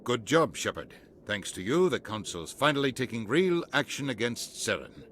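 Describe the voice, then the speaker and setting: An actor playing a self-assured, dismissive ambassador in a video game, deliberate and pronouncing, with faint noise behind. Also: male voice, mid-range voice, sing-song, fairly bright tone. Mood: smug, dismissive.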